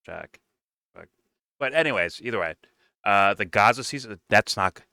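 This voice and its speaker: A man in his twenties hosting a casual reaction show, talking in an animated way, swearing and laughing often. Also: lowish voice, smooth, dry recording, clean studio sound. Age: 20s.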